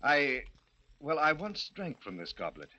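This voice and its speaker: An actor playing a nervous, haunted gentleman host in a 1940s radio mystery, theatrical, grave and hesitant, on an old recording. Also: fairly high pitch, huge pitch swings, unhurried, muffled recording, full character voice. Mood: grave, confessing. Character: nervous, haunted host who believes in a curse.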